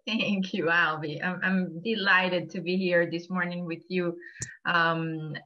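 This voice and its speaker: A woman from Venezuela with a Venezuelan Spanish accent, giving a friendly online presentation to a church group and filling her pauses with "um". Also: on the low side, fairly even intonation. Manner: friendly, many "um"s, explaining slide by slide. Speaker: guest speaker. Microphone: video call. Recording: muffled video call audio.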